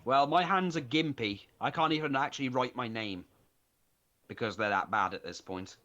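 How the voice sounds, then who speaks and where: A man's voice: medium pitch, moderate pace, a bit bright, dull audio. Self-deprecating man chatting casually. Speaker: tabletop game player. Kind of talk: casual chat.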